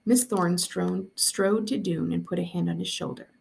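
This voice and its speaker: A woman reading a story aloud as a school librarian, in an expressive narrating style. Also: on the low side, normal speed, gravelly.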